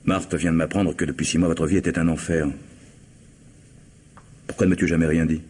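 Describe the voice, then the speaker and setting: Very deep-voiced, earnest man in radio drama. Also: little pitch movement, brisk, darkish tone, husky. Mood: urgent, insistent. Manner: earnest, emphatic, scolding. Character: stern, agitated man secretly in love, exasperated and anxious. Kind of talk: French radio play acting. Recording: noisy old radio drama recording.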